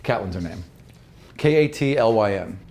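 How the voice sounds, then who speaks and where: A man's voice: on the low side, animated, normal speed, a little mellow. A man working as game master, narrating a fantasy tabletop game in a casual, conversational and sometimes profane way.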